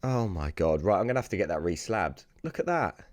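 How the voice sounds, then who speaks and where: A British man with a hoarse, raspy voice that sounds worn out, hosting a casual sports card unboxing video. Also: low-pitched, big pitch swings, brisk, dry recording, studio quality. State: hoarse, lost voice from screaming the night before.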